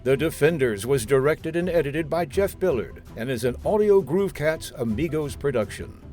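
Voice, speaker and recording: A man's voice: fairly high pitch, animated, moderate pace, darkish tone, soft background music. A man reading scripted closing credits as an old-time radio announcer.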